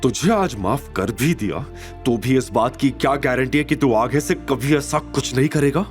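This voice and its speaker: Guy as tough major in an audio drama, measured and menacing, mid pitch, music behind. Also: close to an everyday voice. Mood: stern, menacing. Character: stern, commanding male major, protective and menacing. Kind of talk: Hindi audio drama acting.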